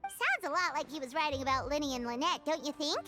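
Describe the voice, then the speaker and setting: A woman voicing a tiny, childlike, curious fairy girl companion in a video game, with a squeaky, excitable delivery. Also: fast, loud music behind the voice.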